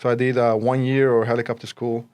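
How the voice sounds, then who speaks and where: A man in his forties with a Puerto Rican accent speaks as an interview guest. His pitch sits in the middle range for a man. He talks in a conversational way, telling his own story. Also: level tone, fast talker, darkish tone. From Puerto Rico. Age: 40s. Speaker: interview guest, realtor and army veteran.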